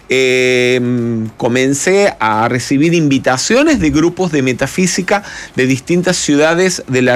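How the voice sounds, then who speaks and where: Argentine male guest, fairly high voice, measured explainer in a radio interview. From Córdoba, Argentina. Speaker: guest, emotional intelligence expert and speaker. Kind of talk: radio interview, recounting own path.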